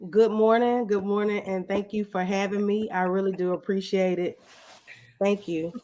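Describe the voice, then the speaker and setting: A woman's voice: mid-range voice, fairly even intonation, moderate pace, warm tone. A woman giving casual, plain-spoken, conversational answers as a guest in an interview.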